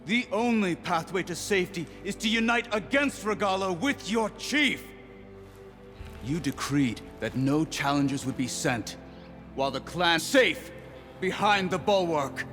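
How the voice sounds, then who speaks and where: Proud, steadfast warrior marshal over music. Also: male voice, sits high, animated, a little slow, fairly bright tone. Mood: stern, commanding. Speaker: video game character. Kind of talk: dramatic game dialogue.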